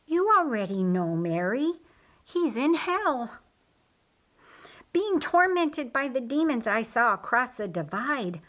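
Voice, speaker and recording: A woman's voice: on the high side, very animated, slow pace, fairly bright tone, voice pushed up, full character voice. Woman reading as a grave, reluctant brother. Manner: explanatory, grave. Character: reluctant, matter-of-fact brother in a Bible story. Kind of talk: audiobook character dialogue.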